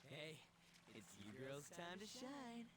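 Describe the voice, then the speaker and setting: A man's voice: high-pitched, huge pitch swings, slow pace, noisy background, exaggerated voice. An actor playing a brash, eager young man in an adventure video game. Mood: eager, coaxing.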